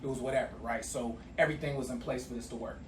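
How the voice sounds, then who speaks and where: A middle-aged man hosting a podcast in relaxed, slangy casual chat. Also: medium pitch, fast talker. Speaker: podcast host. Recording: echoey room from a distant mic, noisy.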